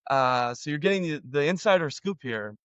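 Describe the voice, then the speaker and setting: A young man from Argentina, a podcast guest telling a story in a relaxed, self-deprecating way with lots of fillers. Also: a little high, normal speed, clean tone, no echo, muffled recording. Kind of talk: casual storytelling.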